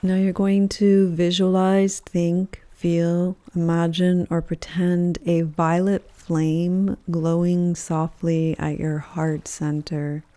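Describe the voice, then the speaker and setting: Slow, mellow woman guiding meditation. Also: fairly low pitch, no echo. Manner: calm, gentle, measured, with soft pauses between phrases. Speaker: yoga nidra teacher, meditation guide. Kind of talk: guided meditation, soothing scripted narration.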